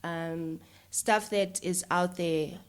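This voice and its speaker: A woman's voice: lowish voice, fairly even intonation, drawn-out words, clear, resonant tone. A woman with a South African accent talking casually and conversationally as an interview guest. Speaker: interview guest, filmmaker and YouTuber.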